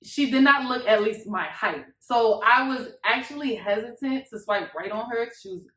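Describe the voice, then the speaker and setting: A woman's voice: fairly high pitch, animated, moderate pace, bright, forceful tone. A woman in her twenties with an American accent, telling a story in a casual, slangy chat to camera. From Miami, Florida, United States. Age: 20s. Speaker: YouTube couple vlogger. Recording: echoey untreated room.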